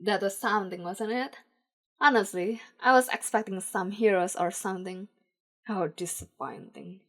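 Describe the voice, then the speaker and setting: A woman's voice: mid-range voice, moderate pace, fairly bright tone, close to an everyday voice. A woman voicing a bored, theatrical male criminal with a drawling, sighing delivery in a read-aloud story.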